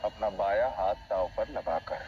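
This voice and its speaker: A man playing a calm, resolute challenger. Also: a little slow, lo-fi sound, noisy background, lightly acted. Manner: steady, low-key, resolute. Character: calm determined young male challenger.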